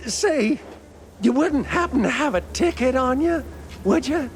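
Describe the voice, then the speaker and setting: A man voicing a chatty, cheeky little cartoon informant with a creaky, wheedling delivery. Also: extremely high pitch, animated, a little slow, soft background music. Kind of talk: animated game voice acting.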